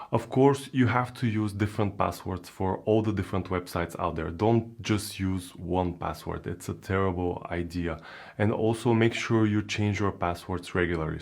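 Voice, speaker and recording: A man's voice: deep voice, gravelly, darkish tone. A man with a Bulgarian accent, a native Bulgarian speaker, explaining online security step by step in a plain teaching manner with frequent fillers. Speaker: crypto security educator. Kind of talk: explainer video narration.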